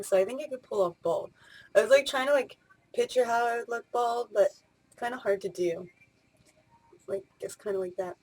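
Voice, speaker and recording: A woman's voice: mid-range voice, breathy edge, low-quality recording. A woman in her late twenties talking casually in a vlog, rambling with many fillers and restarts. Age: 20s. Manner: rambling, spur-of-the-moment talk with many fillers. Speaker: vlogger.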